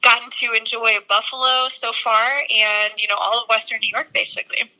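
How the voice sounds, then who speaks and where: A woman's voice: fairly high pitch, flat delivery, fairly quick, pushed and bright, rough recording. A young woman from Texas, a sports reporter, chatting upbeat as a podcast guest, laughing easily and using many fillers. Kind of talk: casual interview chat.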